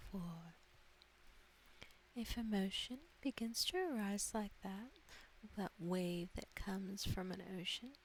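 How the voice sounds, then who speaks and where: A woman softly guiding an ASMR meditation in a breathy near-whisper, gentle, soothing and deliberate. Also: mid-range voice, poor audio. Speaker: guided meditation host. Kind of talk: soft-spoken ASMR guided meditation. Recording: faint background hiss.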